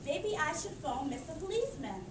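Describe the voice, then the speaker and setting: A woman's voice: high voice, sing-song, a little fast. A woman voicing an anxious, loving old grandmother in a children's puppet show. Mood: worried. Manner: fretful, affectionate. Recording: distant echoey room with audience noise.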